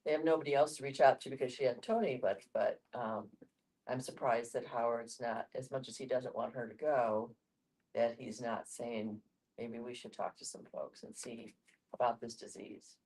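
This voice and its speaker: A woman's voice: very deep voice, fairly even intonation, moderate pace, a little mellow. A woman explaining her view earnestly in a group discussion. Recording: distant microphone in group setting.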